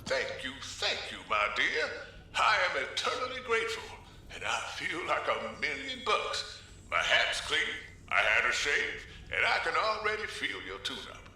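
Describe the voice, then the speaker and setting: A man's voice: fairly high pitch, sing-song, unhurried, gravelly, bright, forceful tone, heavily acted. An actor playing a kindly, courtly older American man with a folksy manner in a video game. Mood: grateful. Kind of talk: video game cutscene acting. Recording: very echoey, distant, faint noise.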